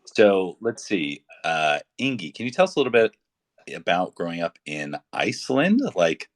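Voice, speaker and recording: A man's voice: sits low, very animated, moderate pace. A man co-hosting a casual interview over a remote call, upbeat and chatty with some fillers. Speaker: co-host.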